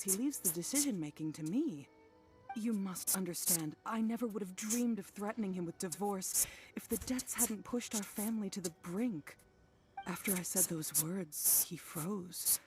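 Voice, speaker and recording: A woman's voice: lowish voice, vocal fry. A woman voicing a worried middle-aged wife in a game, speaking wearily and apologetically. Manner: weary, apologetic, soft-spoken. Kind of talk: game voice acting.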